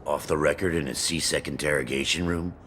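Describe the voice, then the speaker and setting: A man playing a cocky, crude crime boss in a video game, with a gravelly, mocking, slangy tough-guy delivery. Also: a bass voice, steady tone, brisk, fairly bright tone, a little hiss or hum. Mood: indignant.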